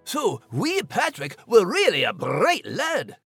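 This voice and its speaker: A man voicing a small, chirpy, fussy male mouse who talks excitably. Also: high voice, slow pace, husky, soft background music, pitched above the performer's own voice, full character voice.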